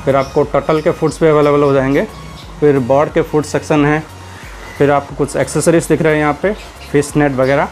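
A man's voice: a little high, flat delivery, brisk, a little mellow, faint music behind. A man, casually narrating a walk through an aquarium and pet shop, chatting to viewers as he points out stock and prices. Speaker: aquarium hobbyist vlogger.

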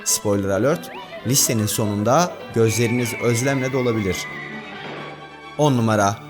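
A man's voice: medium pitch, normal speed. A man hosting and narrating a scripted countdown video. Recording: music bed under voice. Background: background music.

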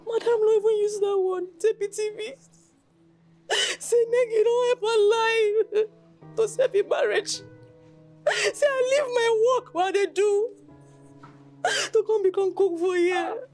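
A woman plays a sacked cook with a Nigerian Pidgin accent, weeping as she pleads her case. Her voice is very high, with soft music behind. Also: a little slow. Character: crying young woman cook, just sacked.

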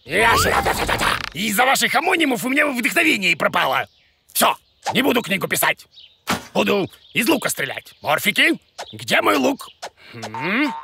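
Boastful cartoon creature, very lively pitch, self-important, theatrical, clearly noisy background. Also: male voice, a little slow, exaggerated voice. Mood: huffy, stubborn. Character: blustering, boastful, clueless bearded creature teacher. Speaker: cartoon teacher. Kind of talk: animated children's cartoon voice acting.

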